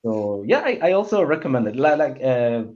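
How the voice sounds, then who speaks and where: Guy chatting on a panel, really lively pitch, trips over his words, kinda dull lo-fi sound. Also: a little fast. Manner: rambling, stammering, restarts sentences often. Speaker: panelist on a film discussion show. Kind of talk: casual opinionated panel chat. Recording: dull, muffled lo-fi audio.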